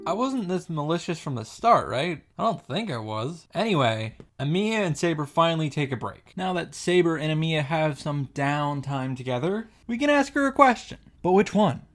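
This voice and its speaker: A man's voice: fairly high pitch, very animated, normal speed, hardly any pauses. Blunt man doing commentary over game music. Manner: blunt, profane, mocking. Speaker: visual novel reactor, commentator. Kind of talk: reaction commentary.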